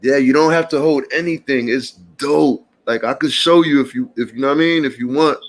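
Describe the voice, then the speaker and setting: A man, chatting casually to passersby and viewers in a relaxed morning vlog, calling everyone brother and man. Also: mid-range voice, brisk. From Puerto Rican family. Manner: laid-back, slangy, calls people brother and man. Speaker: vlogger.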